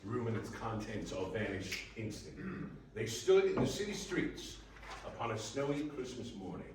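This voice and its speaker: A man narrating a scripted story for readers theater in a measured, theatrical way. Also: fairly low pitch, lively intonation. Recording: very echoey room, distant mic, faint noise.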